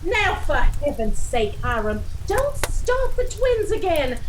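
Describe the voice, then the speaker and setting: A woman's voice: extremely high pitch, sing-song, takes it slowly, background noise, full character voice. An actress playing an anxious wife and mother in a radio play, fussing and worried. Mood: fretful.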